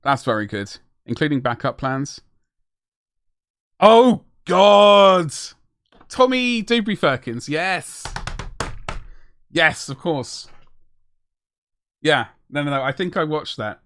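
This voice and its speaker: A man's voice: a little high, very animated, slow, pauses often, a bit bright, smooth, no room sound, clean studio sound. A man chatting casually on a live stream as he paints miniatures, rambling and self-deprecating as he answers his chat.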